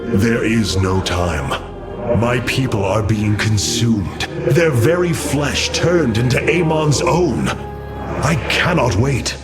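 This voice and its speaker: Noble, expressive alien commander. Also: male voice, mid-range voice, takes it slowly, over loud music. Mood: stern, urgent. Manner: formal, oratorical. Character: noble alien warrior leader, earnest and resolute. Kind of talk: dramatic game cutscene acting.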